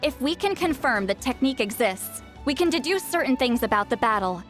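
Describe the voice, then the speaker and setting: A woman's voice: sits high, lively intonation, fairly quick, fairly bright tone. A woman playing a proud, grumpy, easily flustered great shaman in an anime game, with a haughty, huffy manner. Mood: smug, musing. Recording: background music under the voice.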